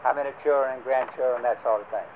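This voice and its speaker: An older man leading a church group, talking casually with many pauses and fillers. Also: mid-range voice, fairly even intonation. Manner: rambling, many fillers and restarts. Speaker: church class leader. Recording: lo-fi phone-like recording with faint hiss.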